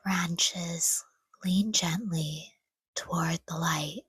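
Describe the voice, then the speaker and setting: A woman speaks in a very breathy, whispery voice, low in pitch and extremely unhurried. She guides a sleep meditation gently and soothingly, leaving long pauses between short, hushed phrases. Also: steady tone, dry recording. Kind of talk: guided sleep meditation, scripted, soft-spoken.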